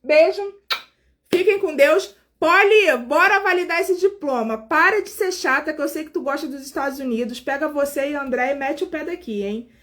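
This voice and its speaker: A woman's voice: high voice, moderate pace. A woman, hosting a casual live stream as a nurse and chatting directly with her viewers, sounding tired. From Brazil. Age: 40s. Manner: chatty, warmly addresses viewers directly, frequent fillers.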